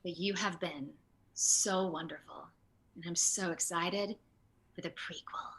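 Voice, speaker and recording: A woman voicing a menacing, seductive female video game villain who teases and threatens slowly and breathily. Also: fairly low pitch, a bit bright, a little hiss or hum, voice pushed down, rough recording. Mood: seductive, whispery.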